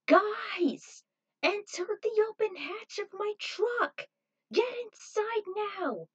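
A woman voicing a female pilot who shouts urgent, commanding orders in a story reading. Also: very high-pitched, sing-song, slow pace, dull audio, pitched above the performer's own voice, heavily acted. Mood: urgent shouting. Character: female truck pilot yelling urgent orders over a loudspeaker. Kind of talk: dramatized fan fiction reading.

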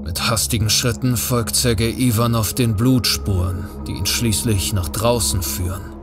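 An actor as the solemn narrator of a suspense audio drama, reading scripted narration. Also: male voice, fairly low pitch, steady tone, normal speed, music in the background, natural voice. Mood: solemn, suspenseful. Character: solemn male narrator of an icy thriller.

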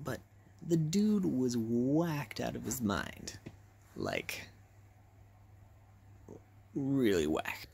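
A young man telling casual stories to camera in an animated, acted-out way, recorded on a phone in a bedroom. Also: fairly high pitch, vocal fry, a little hiss or hum. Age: young adult. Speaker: vlogger telling personal stories. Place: small bedroom, lying in bed. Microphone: phone at arm's length.

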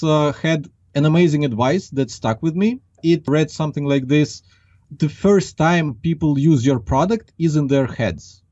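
A man's voice: on the high side, warm tone, dry recording. A man in his thirties from Ukraine with a Ukrainian accent, talking conversationally as an interview guest. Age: 30s. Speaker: interview guest, marketing executive. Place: small acoustically padded room. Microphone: large desk microphone, close. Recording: slightly dull, band-limited online call.

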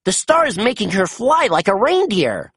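An upbeat heroic boy from a children's storybook played by a reader. He exclaims encouragingly in a raised, very animated voice. There is a husky edge, and the sound is close and dry. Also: medium pitch, normal speed, no room sound, pitched above the performer's own voice, heavily acted. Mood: surprised, puzzled. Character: upbeat heroic boy leader of rescue pups. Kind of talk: children's storybook character reading.

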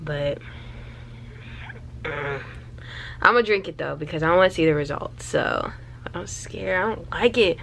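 A young woman with a low voice and gravelly fry chats to a close camera in her bedroom. She is candid and loose, trailing off and restarting between thoughts. A faint hum sits under the room. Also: measured pace, long pauses, a bit bright. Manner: chatty, candid, lots of fillers and trailing thoughts. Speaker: vlogger. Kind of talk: casual vlog talk to camera. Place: small bedroom, indoors.

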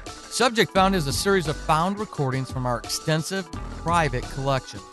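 Higher-pitched man narrating over music. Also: lively intonation, moderate pace. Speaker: announcer, show narrator. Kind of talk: scripted narration.

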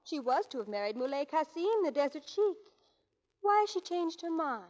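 Scheming royal handmaiden, high voice, ardent, melodramatic, lo-fi 1940s radio comedy. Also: female voice, sing-song, moderate pace, darkish tone. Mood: urgent. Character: lovestruck, scheming exotic lady-in-waiting. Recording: dull, lo-fi 1940s radio recording.

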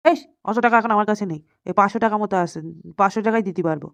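A woman's voice: mid-range voice, racing, close to an everyday voice. A woman voicing a sly old grandfather who coaxes and pleads with his lover in an amateur comedy cartoon. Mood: sly, placating. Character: wheedling, cheating old grandfather placating his secret lover.

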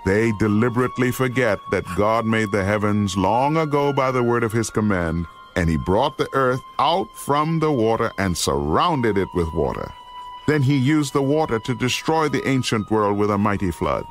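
Male actor as grave apostle warning his flock, lowish voice, oratorical, deliberate, dramatized scripture, faint music underneath. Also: lively intonation, close to an everyday voice. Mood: solemn, exhorting.

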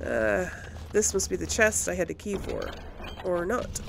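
A woman gives casual gameplay commentary, thinking aloud at an unhurried pace. Her pitch moves around a lot and often drops into creaky fry, with faint noise behind her. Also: very animated. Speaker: gameplay host. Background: video game sounds.

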